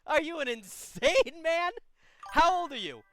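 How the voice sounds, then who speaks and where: Young man, host, call-in advice stream, animated, blunt, bright voice, wide pitch swings. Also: a little slow, soft background music. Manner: animated, blunt, mock-preacher.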